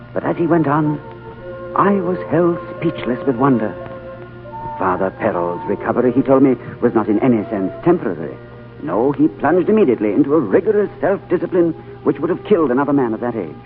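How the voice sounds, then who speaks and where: An earnest, wonder-struck man recounts his tale, voiced by a radio actor, his pitch rising and falling freely. The sound is thin and phone-like, as on an old broadcast. Orchestral music runs underneath. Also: lightly acted. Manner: earnest, expressive storytelling. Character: male diplomat narrator, awed and searching. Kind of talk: 1930s radio drama acting.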